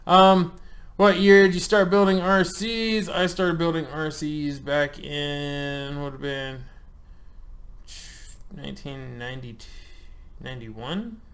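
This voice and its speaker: A man's voice: fairly high pitch, steady tone, slow, a bit bright. A man in his thirties talks viewers through an online form at an easy, conversational pace. His delivery is loose and joking, full of filler words. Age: 30s. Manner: loose, chatty, joking, with frequent ums and uhs. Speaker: channel host. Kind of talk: casual screen-share walkthrough.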